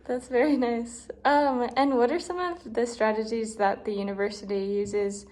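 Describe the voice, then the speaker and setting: A woman's voice: on the high side, fairly even intonation, unhurried, a little mellow. Polite young-sounding woman interviewer. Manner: polite and encouraging. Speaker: interviewer, host. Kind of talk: interview questions.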